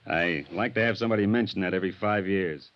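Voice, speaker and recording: An actor playing a tough, suspicious police detective in a 1950s radio drama, gruff and terse with dry humor. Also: male voice, deep voice, quick pace, natural voice. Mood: dry, weary. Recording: muffled vintage radio recording.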